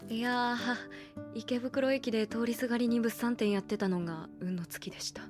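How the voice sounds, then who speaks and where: A woman's voice: on the high side, steady tone, moderate pace, over music, close to an everyday voice. An actress playing an easygoing young female college student, casually polite and a little wry, in a Japanese anime audio drama. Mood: wry, amused. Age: young adult.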